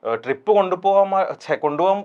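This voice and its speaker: A man's voice: high-pitched, fast, highs cut off. A man chatting casually as an interview guest. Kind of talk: casual chat.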